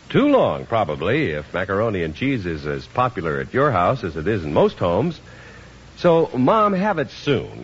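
A man giving a polished, persuasive commercial read as a 1950s radio announcer. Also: medium pitch. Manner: polished, persuasive sales delivery. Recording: noisy, muffled 1950s radio recording.

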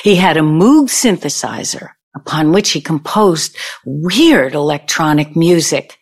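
A woman's voice: low voice, lively intonation, a little slow, breathy edge, exaggerated voice. A woman playing a wounded, vengeful older ex-girlfriend in an audio play, speaking in a dramatic, pointed way. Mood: wistful. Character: bitter, wounded, vengeful ex-girlfriend from mogul's college days.

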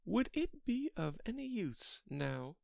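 A woman's voice: medium pitch, very animated, slow pace, creaky, a little mellow, dry recording, dull audio, pitched above the performer's own voice, heavily acted. A woman voicing a polite, chatty little girl in a children's storybook, earnest and apologetic as she rambles on. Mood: wondering.

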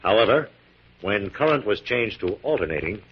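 An actor playing an assured, methodical scientist hero with a measured, authoritative manner, in 1940s radio drama style. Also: male voice, on the low side, very animated, a little hiss or hum. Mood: businesslike, assured. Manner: crisp dictation, measured, authoritative. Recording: dull, muffled 1940s radio recording.

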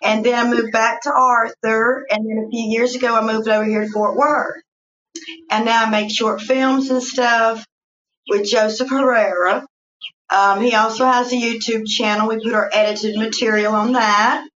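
A woman with a Southern US accent, a guest telling her life story slowly in a casual interview, with frequent fillers. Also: on the high side, little pitch movement, lo-fi sound. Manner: slow, warm storytelling with fillers.

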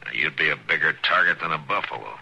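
A stern frontier marshal who speaks in a firm, measured way. His voice is extremely deep and gravelly with a husky, bright edge. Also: lively intonation, dull audio, full character voice. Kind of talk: 1950s radio drama acting.

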